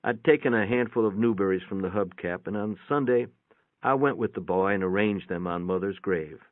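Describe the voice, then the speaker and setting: A man giving a dramatic first-person reading of a short story in a measured, reflective way. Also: on the low side, no echo. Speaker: story reader. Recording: thin band-limited phone-like sound, dry.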